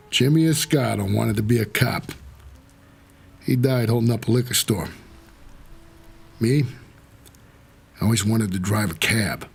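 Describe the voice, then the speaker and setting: An actor playing a world-weary, streetwise New York cab driver, narrating a noir audio drama in a wry, hard-boiled way with a gravelly, husky voice. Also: male voice, medium pitch. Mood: wry, reflective.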